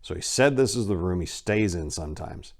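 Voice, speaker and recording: Low-voiced man reading crime fiction dramatically. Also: expressive, brisk, a little mellow. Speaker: narrator.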